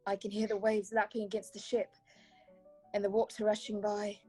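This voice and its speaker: An actress in a radio play voicing a fragile, eerie young woman speaking slowly from a trance, her breathy voice drifting toward whispers. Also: mid-range voice, monotone, darkish tone, soft background music, lightly acted. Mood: entranced, eerie.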